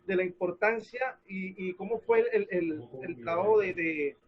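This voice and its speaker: A man hosting a spontaneous interview, often halting as he searches for words. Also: high-pitched, lo-fi sound. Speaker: host, interviewer.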